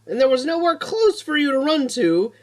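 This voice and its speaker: A man's voice: very high-pitched, very animated, moderate pace, pitched above the performer's own voice. A man voicing an indignant, insistent older female nurse in a story, scolding and questioning. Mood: indignant disbelief.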